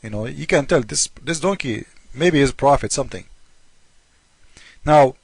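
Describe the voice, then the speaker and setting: A man's voice: medium pitch, animated, measured pace, creaky, husky, dry recording. A man who speaks English as a second language gives informal polemical commentary on scripture, repeating himself in a mocking, theatrical way and acting out both sides of arguments. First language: not English. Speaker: host of a religious polemic video.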